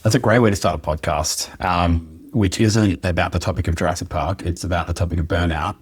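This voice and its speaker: Male podcast host, deep husky tone, loose conversational chatter. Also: fairly even intonation, brisk. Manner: chatty, meandering, laughs easily. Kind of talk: casual podcast chat.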